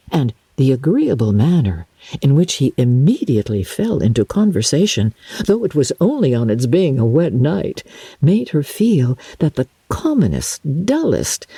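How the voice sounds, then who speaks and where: A woman reads a classic novel as a dramatic audiobook. Her voice is husky and mellow, swooping expressively across the sentences. She phrases deliberately and theatrically, recorded close and dry. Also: sits low, no echo. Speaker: audiobook narrator, radio host and actress.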